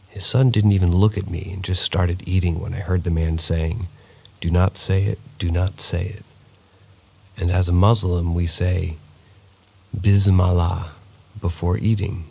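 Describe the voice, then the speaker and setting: A man narrating true paranormal stories in a steady, somber reading voice. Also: deep voice, vocal fry, breathy edge.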